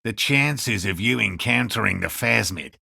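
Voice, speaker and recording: An actor playing an earnest, stubbornly hopeful elderly male cryptozoologist in a video game, speaking with a gravelly rasp and a formal, pedantic manner. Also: fairly low pitch, expressive, unhurried, sharp tone, no echo, studio quality, full character voice. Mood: proud, hopeful. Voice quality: gravelly, raspy. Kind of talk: video game character dialogue.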